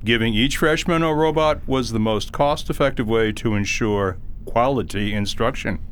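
An actor playing a polite robot mentor in an audio drama, formal, precise and unemotional. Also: male voice, medium pitch, normal speed, fairly bright tone, slight background noise, natural voice. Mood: calm, matter-of-fact. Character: polite, businesslike robot mentor, matter-of-fact and unruffled.

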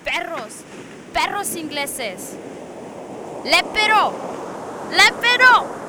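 A woman's voice: extremely high pitch, very animated, takes it slowly, full character voice. An actress playing a fierce, defiant Spanish-speaking captive woman in a radio drama. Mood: furious, scornful. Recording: noisy surf-like ambience, off-mic.

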